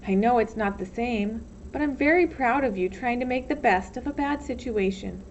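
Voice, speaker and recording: Storybook mom voiced by a woman reader, tender and hopeful, high-pitched, slightly dull lo-fi recording. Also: normal speed, close to an everyday voice. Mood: affectionate. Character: affectionate, hopeful mother writing to her son. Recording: dull lo-fi sound, faint noise.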